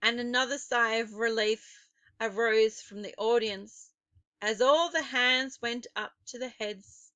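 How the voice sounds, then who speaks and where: Woman, children's audiobook narrator, expressive, dramatic phrasing, fairly high, dry close sound. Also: measured pace, smooth, no echo, muffled recording. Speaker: storyteller.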